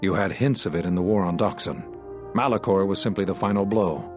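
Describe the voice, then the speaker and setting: An actor playing a solemn, regretful Jedi master in a video game, speaking in a measured, sombre and earnest way. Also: male voice, low voice, over music, natural voice. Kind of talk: dramatic video game dialogue.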